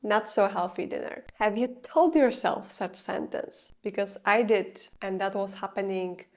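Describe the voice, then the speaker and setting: A woman's voice: mid-range voice, a little slow. A young woman with a Polish accent speaking English as a second language, giving an earnest, confessional vlog talk. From Poland. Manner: earnest, confessional, slightly non-native grammar. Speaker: video creator. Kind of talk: scripted explanatory vlog.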